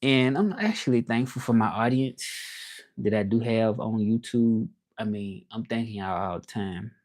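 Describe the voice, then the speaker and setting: A man's voice: fairly low pitch, normal speed, a little mellow. A man from Memphis with a Southern accent, talking casually and reflectively on a livestream, rambling with many fillers. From Memphis, Tennessee. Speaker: livestream host.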